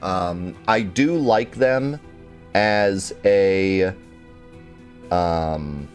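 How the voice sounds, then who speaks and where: A man hosting a gaming channel, sounding a bit nasal and congested from a cold, talking casually and haltingly with lots of fillers as he airs his gripes. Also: low voice, faint music behind. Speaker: let's play host. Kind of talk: casual gaming commentary to viewers.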